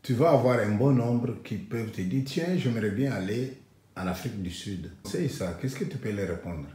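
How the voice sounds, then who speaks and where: A man hosting an interview, putting calm questions to his guest. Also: on the low side, moderate pace. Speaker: interviewer, channel host. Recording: echoey room.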